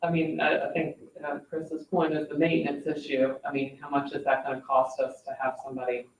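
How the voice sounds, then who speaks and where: Higher-voiced man, hesitant, distant and echoey. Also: monotone, fairly quick. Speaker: meeting participant. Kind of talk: informal meeting discussion. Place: indoor public meeting room. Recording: echoey room, distant mic, faint noise.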